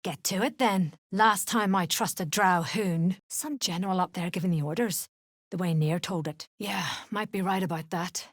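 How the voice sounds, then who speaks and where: An actress playing a tough, foul-mouthed duergar mercenary in a fantasy game, blunt and menacing in manner. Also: female voice, lowish voice, normal speed, no echo, clean studio sound, natural voice. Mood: surly, blunt. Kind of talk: fantasy game character acting.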